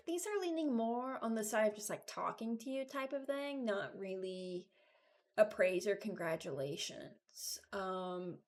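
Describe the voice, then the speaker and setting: Young woman, mid-pitched voice, casual gamer commentary, unscripted, rambling, thinking out loud. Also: unhurried. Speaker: video creator and gamer.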